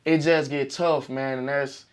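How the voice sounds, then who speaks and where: A young man from Arkansas with a raspy voice, a music artist telling stories in a casual interview, animated and full of slang. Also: medium pitch, a little slow. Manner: animated, profane storytelling with slang.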